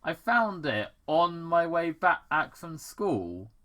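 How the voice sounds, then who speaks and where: Man acting teenage girl, sarcastic, curious, questioning, bright, lively pitch, story read-aloud. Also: slow pace, full character voice.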